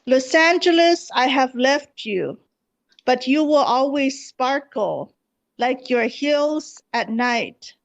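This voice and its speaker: A woman poet at an open mic, speaking in a measured, deliberate manner over an online call. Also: high-pitched, expressive. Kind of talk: poetry reading and brief casual remarks. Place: online video gathering.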